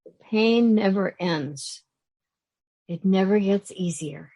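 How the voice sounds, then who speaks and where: A woman acting in an audio play as a somber, comforting mourner with a deliberate, solemn delivery. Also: medium pitch, dark tone.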